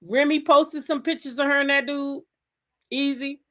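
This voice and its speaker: A woman's voice: high-pitched, steady tone, normal speed, a bit bright. An American woman hosting a gossip talk show, giving blunt, emphatic commentary in a casual style and repeating phrases for effect.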